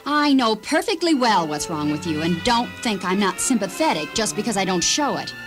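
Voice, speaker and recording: A woman's voice: mid-range voice, big pitch swings, moderate pace, natural voice. A woman playing a cool, scornful fiancée who lectures her man in a condescending, matter-of-fact way in a radio story drama. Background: music.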